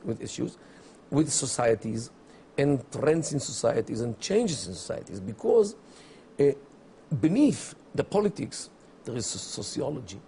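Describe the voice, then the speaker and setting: A middle-aged man from Israel, not an English speaker, giving deliberate interview answers full of pauses and fillers. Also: medium pitch, big pitch swings, vocal fry, dark tone, a little hiss or hum. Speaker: interview guest, academic. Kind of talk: measured explanatory interview answers.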